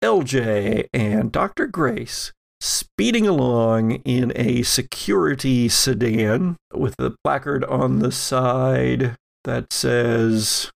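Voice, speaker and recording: Slow, gravelly man running a game. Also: mid-range voice. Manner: halting, deliberate delivery. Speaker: game master. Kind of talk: improvised narration of a tabletop roleplaying game.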